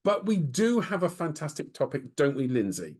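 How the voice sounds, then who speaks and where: A man's voice: on the high side, sing-song, moderate pace, darkish tone. Easygoing man co-hosting a podcast. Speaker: podcast co-host. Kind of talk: conversational podcast chat.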